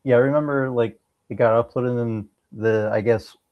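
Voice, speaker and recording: A man's voice: mid-range voice, steady tone, moderate pace. A young man chatting casually as an interview guest, hesitant and sparing with his words. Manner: hesitant, laconic. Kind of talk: casual chat. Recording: dull lo-fi sound.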